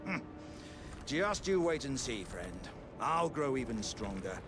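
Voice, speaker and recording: Friendly talking jar warrior, slow and bit hesitant, humble, fantasy game w music under it. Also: male voice, mid-range voice, sing-song. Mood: hopeful, determined. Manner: hesitant, genial, earnest. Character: friendly, humble living warrior jar, self-deprecating yet hopeful. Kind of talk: fantasy game character dialogue. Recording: music playing behind the voice.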